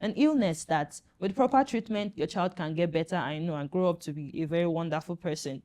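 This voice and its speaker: A woman's voice: fairly low pitch, brisk, warm tone. Earnest woman doctor, reassuring. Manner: earnest and reassuring, with many "you know" fillers. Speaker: pediatric doctor and guest. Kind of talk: explaining to parents in a panel chat.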